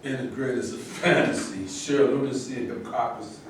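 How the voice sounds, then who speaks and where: Male stage actor, average pitch, script in hand, off-mic with faint noise. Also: steady tone, brisk. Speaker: actor in a staged play reading. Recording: echoey room, distant mic, faint noise.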